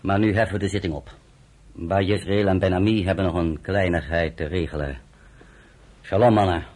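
An actor playing a firm, authoritative underground commander in a radio drama. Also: male voice, deep voice, steady tone, normal speed, a little mellow. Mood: firm, measured.

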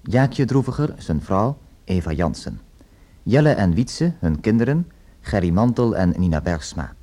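A man announcing in a formal, measured style, reading out scripted credits as a radio announcer. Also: deep voice, big pitch swings, warm tone.

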